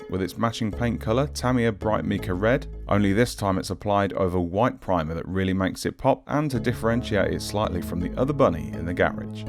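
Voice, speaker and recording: A man narrates in a fairly low voice. He reads a prepared script as the host of a hobby showcase, with guitar music playing underneath. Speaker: host of a scale-model showcase series. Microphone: handheld audio recorder.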